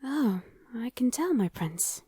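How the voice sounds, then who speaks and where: Woman playing a breathy, anxious young princess. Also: fairly high pitch, big pitch swings, slow pace, dark tone, dry recording, clean studio sound, heavily acted. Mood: polite, nervous. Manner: breathy, genteel, hesitant. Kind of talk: romantic ASMR roleplay acting.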